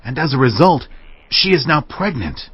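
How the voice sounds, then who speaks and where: Husky, indignant biblical informer. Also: male voice, medium pitch, very animated, normal speed, no echo. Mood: accusing. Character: male accuser bringing news, grave and indignant. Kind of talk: dramatized scripture acting. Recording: dry, dull lo-fi audio.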